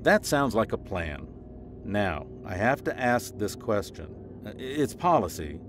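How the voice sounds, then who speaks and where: A man's voice: fairly low pitch, very animated, unhurried, background noise. A man playing an earnest, polite school principal in an audio drama, procedural and slightly awkward. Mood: earnest, formal. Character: earnest, officious male school principal, reassuring but awkward.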